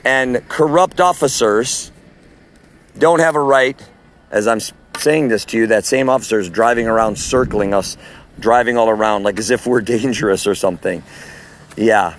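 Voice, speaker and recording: A man, a street preacher with a loud voice, recording an audio message with a phone while walking outdoors at a farmer's market, speaking in a forceful preaching cadence. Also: lowish voice, normal speed, slight background noise.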